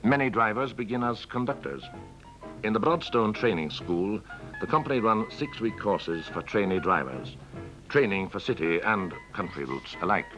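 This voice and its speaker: A man's voice: fairly low pitch, bright, forceful tone, poor audio. A man reading scripted narration for a 1960s training film, on an old film soundtrack. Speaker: documentary narrator. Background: music.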